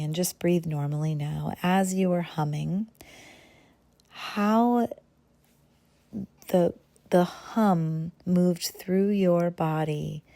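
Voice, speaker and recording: Slow, gravelly-edged woman guiding meditation. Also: sits low. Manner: gentle, unhurried, with pauses between short phrases. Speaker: guided meditation host. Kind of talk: guided meditation, slow soothing narration.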